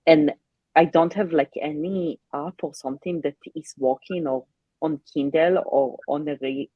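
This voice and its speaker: A woman, a non-native English speaker, chatting casually on a live reading stream, halting with many fillers and restarts. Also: deep voice, fairly even intonation, dry recording, muffled recording. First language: not English. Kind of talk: casual chat.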